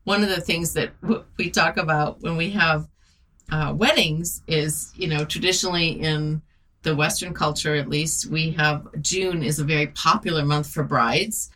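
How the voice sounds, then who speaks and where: Deep-voiced, bright female host, slightly distant. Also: fairly even intonation, normal speed. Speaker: relationship podcast host. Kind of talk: conversational hosting, explanatory. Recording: distant from the microphone.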